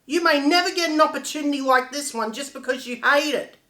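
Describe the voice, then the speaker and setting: A man's voice: extremely high pitch, extremely slow, sharp tone, exaggerated voice. A man playing a desperate struggling theatre performer in an emphatic, theatrical dramatic monologue. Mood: indignant. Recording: echoey room.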